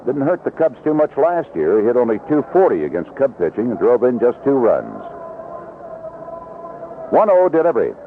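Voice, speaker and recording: A male baseball announcer delivers live play-by-play in short descriptive phrases, with an animated, widely moving pitch at an ordinary male height. The sound is a narrow, lo-fi 1970s radio broadcast with faint noise behind the voice. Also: moderate pace. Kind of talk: live radio sports commentary. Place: outdoor ballpark broadcast position.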